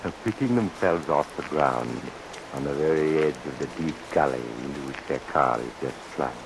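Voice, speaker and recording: Male narrator, bottomless bass, ominous and deliberate; old-time radio horror, rainy noisy recording. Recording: vintage radio recording, heavy rain noise.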